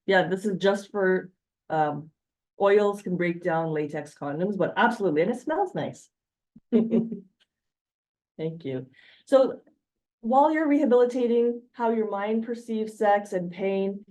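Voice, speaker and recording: A Canadian woman doctor walks an audience through her slides in a frank, reassuring way. Her voice sits low and mellow. She speaks plainly and calmly. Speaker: physician presenter. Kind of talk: educational slide presentation.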